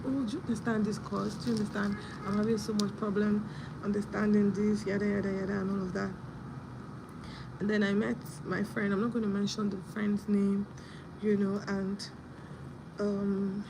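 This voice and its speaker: A young woman hosting a casual live stream, husky from a sore throat, telling a story and greeting viewers by name. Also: mid-range voice, background noise.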